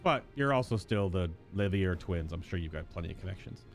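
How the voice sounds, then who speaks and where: A man's voice: low voice, expressive, brisk, faint music behind. A man giving casual live-stream commentary on a game's story, chatting and speculating in asides between lines he reads aloud.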